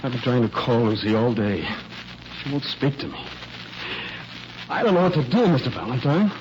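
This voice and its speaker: A male actor as an earnest young man worried sick over his fiancée. His mid-pitched voice hesitates and corrects itself in old radio drama style, on a muffled, faintly hissy recording. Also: lively intonation, normal speed, a little mellow, natural voice. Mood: uneasy, hesitant. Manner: hesitant, stammering, self-correcting. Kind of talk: 1940s radio mystery acting.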